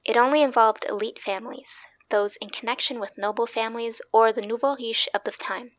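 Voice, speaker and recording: A woman's voice: a little high, steady tone, a little fast, dry recording, dull audio, lightly acted. A woman playing a keen, wry young researcher in a horror audio drama, explaining things casually. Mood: eager, wry.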